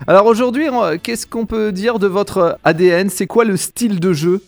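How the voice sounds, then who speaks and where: A man's voice: high voice, normal speed, faint music behind. A man interviewing a guest, asking brief questions with short acknowledgements in between.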